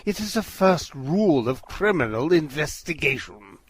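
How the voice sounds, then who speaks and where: Pedantic, self-assured gentleman detective male actor for comic radio play; high-pitched, animated. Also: moderate pace, slightly rough, no echo. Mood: pompous, flustered. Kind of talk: comic audio drama acting.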